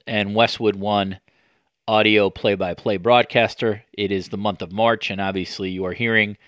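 A middle-aged man hosts a podcast in a lowish voice, close and dry on the mic. He talks conversationally, hesitating and letting phrase endings drop quieter. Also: clean tone, dry recording. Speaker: podcast host.

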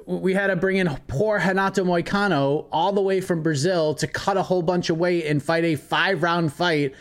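A man co-hosting a podcast in casual chat, animated and ranting with mock outrage. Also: high-pitched, normal speed. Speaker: podcast co-host.

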